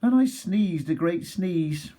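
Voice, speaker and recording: A man voicing a self-justifying, folksy wolf in an expressive children's storybook read-aloud, sounding aggrieved and mock-innocent. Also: high-pitched, slow pace, voice pushed up. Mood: mock-innocent storytelling.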